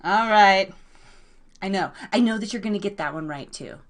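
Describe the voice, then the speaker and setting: A woman with an excitable, informal manner, chatting casually as the live host of a guessing game and cheering on players in slangy language. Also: mid-range voice, moderate pace. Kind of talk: casual live radio game-show chat.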